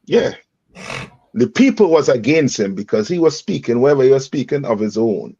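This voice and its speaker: A man's voice: medium pitch, sing-song, moderate pace, dark tone, husky. A man leading a scripture reading, breaking in with emphatic commentary and rhetorical questions. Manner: emphatic, rhetorical questions, repeats "read on" and "you understand". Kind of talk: call-and-response scripture teaching. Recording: dull lo-fi dry sound.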